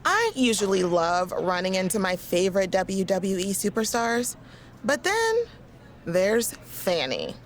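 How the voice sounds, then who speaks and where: A woman's voice: medium pitch, big pitch swings, a little slow, slight background noise. An actress playing a peppy, gossipy, exasperated female wrestling fan in a video game, confiding in a dramatic way. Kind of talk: video game cutscene acting.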